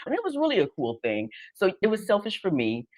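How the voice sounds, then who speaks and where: A middle-aged woman hosts a relaxed online interview. Her voice sits at a medium pitch, and she speaks in a casual, conversational way, affirming and encouraging her guest. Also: brisk. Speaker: host of an online interview show.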